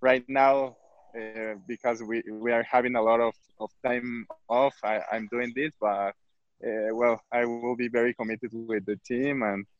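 A footballer from Mexico, speaking English with a Mexican Spanish accent, hesitant and searching for words. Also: male voice, mid-range voice, flat delivery, measured pace, no echo, muffled recording. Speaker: football player. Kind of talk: interview.

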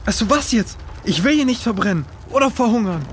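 A woman's voice: a little high, expressive, a little fast, a little hiss or hum, lightly acted. A woman playing a hungry, exhausted boy who complains in a children's audio drama. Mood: frustrated, whiny.

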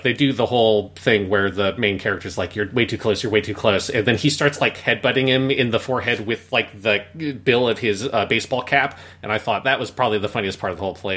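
A man with a slightly low, bright and forward voice hosts a casual review chat. He rambles from thought to thought, peppering his sentences with "like" as he works out his opinion. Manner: rambling, frequent "like". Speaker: podcast host and reviewer.

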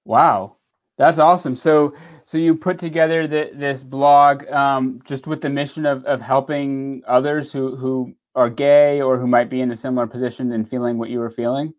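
Male podcast host, casual and rambling, mid-pitched, muffled remote audio. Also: steady tone, moderate pace. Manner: loose, chatty, many restarts. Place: at home in Southern California.

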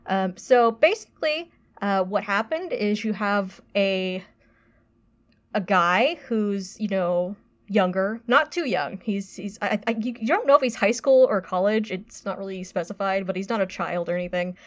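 A woman co-hosting a podcast, giving a casual review in halting, hesitant phrases. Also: mid-range voice.

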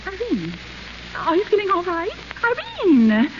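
A woman's voice: extremely high pitch, huge pitch swings, a little slow, full character voice. Twittering society mother. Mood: fluttery. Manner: twittering, gushing, scatterbrained. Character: flighty, fussy older society mother. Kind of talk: 1930s radio acting. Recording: muffled hissy 1930s radio broadcast.

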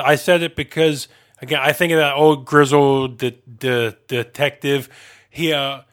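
A man's voice: a little high, a bit bright. A man co-hosting a casual podcast chat, playfully spinning little stories around silly names. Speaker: podcast co-host.

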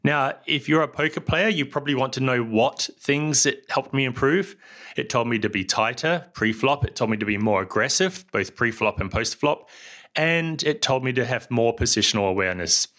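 A middle-aged man from New Zealand with a New Zealand accent, hosting a podcast and telling his story in a measured, scripted way. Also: mid-range voice, expressive, bright, forceful tone. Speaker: podcast host. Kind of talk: scripted solo podcast narration.

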